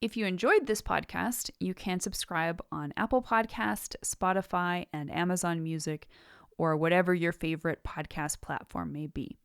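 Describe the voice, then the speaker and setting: Lower-pitched woman presenting a scripted writing lesson. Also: moderate pace, vocal fry, clean tone, no room sound, clean studio sound. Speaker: podcast host and writing teacher. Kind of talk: scripted instructional narration.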